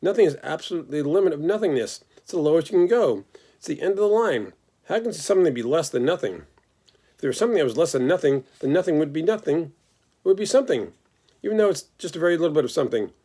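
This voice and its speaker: A man's voice: on the high side, animated, quick pace, warm tone, pitched above the performer's own voice. A man doing a lonely whiny young pig. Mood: puzzled. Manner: pleading, tearful, rambling.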